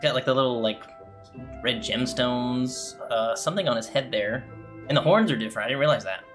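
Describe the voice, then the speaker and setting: A man's voice: medium pitch, fairly quick, music in the background. A man giving casual reaction commentary as he plays a video game. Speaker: game streamer.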